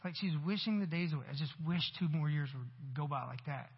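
Male pastor, 40s, husky and high-pitched, conversational sermon with emphatic peaks; long hall reverb, dull recording. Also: a little fast. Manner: conversational preaching with rising emphatic bursts. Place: church sanctuary.

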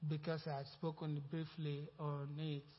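A man's voice: fairly high pitch, completely flat delivery, unhurried. A man with a Nigerian accent, speaking as a pastor to his congregation in a rambling, conversational way. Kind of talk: informal sermon announcement. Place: church sanctuary. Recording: muffled church hall sound with long reverb.

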